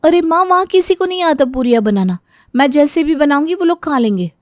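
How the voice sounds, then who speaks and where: A woman's voice: sits high, lively intonation, fairly quick, dry recording, clean studio sound. A woman voicing a timid, evasive young bride in a cartoon story. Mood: whiny, dismissive.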